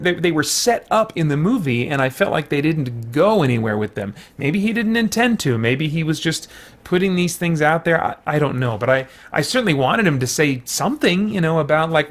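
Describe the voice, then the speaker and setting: American guy doing an off the cuff movie review, voice a bit on the high side, thinks out loud and rewords as he goes. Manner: conversational and thoughtful, breaking off to rephrase. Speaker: film reviewer and show host. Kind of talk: casual unscripted film review commentary.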